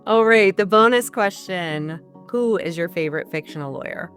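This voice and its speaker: A female interviewer with a slightly low voice hosts a conversation over music. She is affirming and encouraging, posing questions in a conversational style. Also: normal speed. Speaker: interviewer, host.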